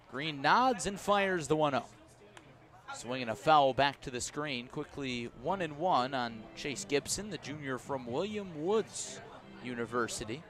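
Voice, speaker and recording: An American man doing live play-by-play baseball commentary for radio, measured between pitches and lifting on plays. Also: a bit bright. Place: outdoor ballpark broadcast.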